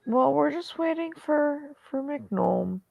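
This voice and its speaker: A woman hosting a casual stream chat, telling stories and quoting herself in quick asides. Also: high voice, lively intonation, creaky, no room sound, highs cut off. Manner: chatty storyteller, quotes herself in asides. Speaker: stream host.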